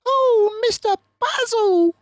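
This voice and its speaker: A man's voice: very high-pitched, slow pace, dry recording, studio quality, pitched above the performer's own voice. A man voicing a distraught female mouse housekeeper in a storybook, wailing as she talks. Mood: upset, wailing.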